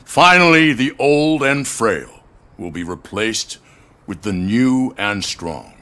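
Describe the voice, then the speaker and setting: An older man playing a frail, courtly, calculating corporate chief in a video game, measured and polite. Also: mid-range voice, lively intonation, pushed and bright. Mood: musing. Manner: measured, polite, paternal with veiled menace. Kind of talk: cinematic video game acting.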